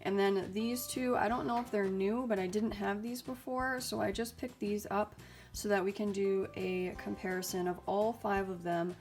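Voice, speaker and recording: A woman's voice: mid-range voice, steady tone, normal speed, a little mellow. Chatty young woman, a little stuffy. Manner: chatty and upbeat, with frequent ums and likes. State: slightly raspy and stuffy, getting over illness. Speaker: YouTube host reviewing mini printers. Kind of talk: casual product review vlog.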